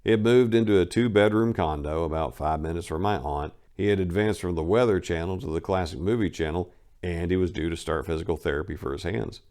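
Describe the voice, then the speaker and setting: A man's voice: low voice, a little fast, a little mellow. A man narrating his own memoir in a casual first-person reading, wry and blunt, with crude humor.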